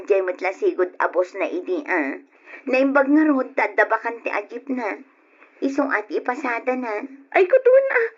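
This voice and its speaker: Chatty young wife, Ilocano accent, high slightly husky voice, cheerful, radio drama, muffled lo-fi recording. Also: female voice. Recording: dull lo-fi old radio recording.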